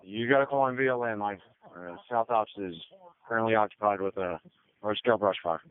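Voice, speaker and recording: A man's voice: lowish voice, a little fast, clear, resonant tone, no room sound. A man working as a dispatcher, speaking in procedural emergency radio traffic over a two-way radio. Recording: tinny narrowband radio transmission.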